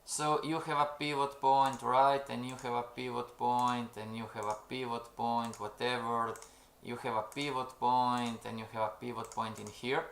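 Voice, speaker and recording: A man leads a live-streamed trading lesson, often calling out to "everybody", checking in with "okay?" and reading out the chat. His voice sits in an ordinary middle pitch. The sound is roomy and echoey, with keyboard typing in the background. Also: level tone, normal speed, vocal fry, a bit bright, a little hiss or hum. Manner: keeps saying "everybody" and "okay?", reads out the chat. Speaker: trading instructor. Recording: roomy echo.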